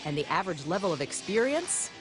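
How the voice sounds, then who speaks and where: A woman correspondent narrating a scripted television news feature in a lively, expressive way. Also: fairly low pitch, quick pace, rough recording. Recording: music bed underneath.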